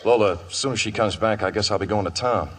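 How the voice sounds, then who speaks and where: An actor playing a nervous, halting, earnest cowboy fiancé in a 1950s Western radio drama. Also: male voice, fairly low pitch, a bit bright, close to an everyday voice. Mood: gently hopeful. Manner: halting, hesitant, pleading. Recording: noisy 1950s radio broadcast recording.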